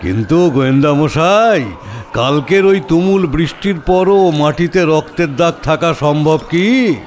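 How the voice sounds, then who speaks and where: An actor playing a pompous, arrogant, portly police inspector in an audio drama, with a booming, blustery voice and haughty delivery. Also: male voice, a little hiss or hum, natural voice. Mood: sceptical, mocking. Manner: haughty, theatrical, drawn-out questioning.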